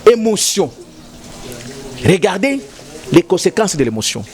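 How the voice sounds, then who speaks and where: Lively, high-pitched man arguing politics. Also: a little slow. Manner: emphatic, repetitive, switching between French and Lingala. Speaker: political commentator. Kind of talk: heated political debate.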